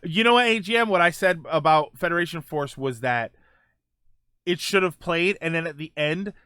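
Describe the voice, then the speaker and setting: A man hosting a livestream, chatting casually with viewers in a halting way, often restarting his phrases. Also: on the high side, pushed and bright, clear, resonant tone, no echo, clean studio sound. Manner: halting, repeats phrase openings. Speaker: livestream host. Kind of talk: casual chat with live viewers, gaming and film talk.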